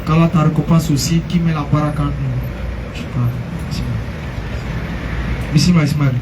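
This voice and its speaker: A man's voice: on the high side, unhurried. Man hosting an outdoor gathering over traffic. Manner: brief introductions and steady backchannel. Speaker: host of outreach gathering.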